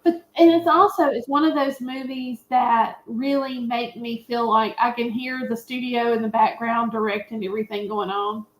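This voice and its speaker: A woman's voice: a little high, fairly even intonation, moderate pace, few pauses. A woman chatting casually as a panelist on a film review show. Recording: echoey, roomy voice pickup.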